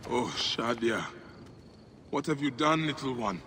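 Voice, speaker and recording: An intense, protective warrior, widely varied pitch. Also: male voice, animated, unhurried, bright, forceful tone, a little hiss or hum. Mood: urgent, alarmed. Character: protective, vengeful adult male warrior in ancient Egypt. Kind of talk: video game cutscene acting.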